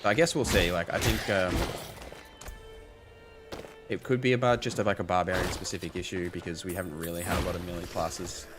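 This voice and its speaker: Hoarse male streamer, deep voice, loose rambling commentary over game music. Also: steady tone, a little fast. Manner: rambling, thinks aloud with many fillers. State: hoarse, losing his voice. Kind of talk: casual live-stream commentary, chatting with viewers.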